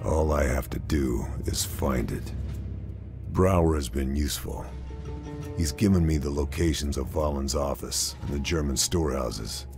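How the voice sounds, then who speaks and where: A man playing a grim, calculating lone army sniper who narrates his mission in a measured, restrained way. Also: extremely low pitch. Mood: grim, focused. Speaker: protagonist narrator. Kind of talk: scripted mission briefing narration. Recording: music behind the voice.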